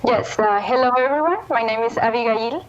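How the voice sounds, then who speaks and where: A student, introducing herself on a webinar. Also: female voice, fairly high pitch, brisk, slight background noise. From Mexico. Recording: dull online call.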